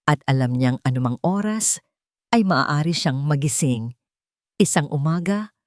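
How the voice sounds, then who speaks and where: A woman narrating a scripted dramatic romance story in measured, deliberate phrases with short pauses. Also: lowish voice, a little mellow, dry recording, studio quality.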